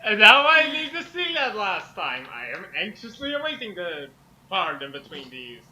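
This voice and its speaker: Male guest commentator, high and animated, for informal banter. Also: normal speed, slight background noise, low-quality recording. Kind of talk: casual chat.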